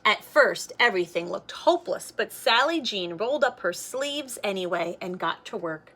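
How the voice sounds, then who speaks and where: A woman's voice: mid-range voice, animated, moderate pace, pushed and bright. A woman reading a children's picture book aloud like a teacher, expressively, with simple explanatory asides.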